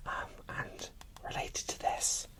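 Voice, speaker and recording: A British ASMR creator giving a casual, chatty unboxing commentary in a soft whisper. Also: takes it slowly.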